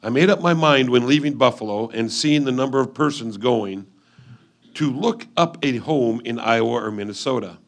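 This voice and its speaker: Casting: man to play keen 1850s frontier husband, medium pitch, measured old-fashioned letter reading for the stage. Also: close to an everyday voice. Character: 1850s husband newly arrived out West, keen and observant. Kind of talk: dramatic reading of an old letter, reader's theatre.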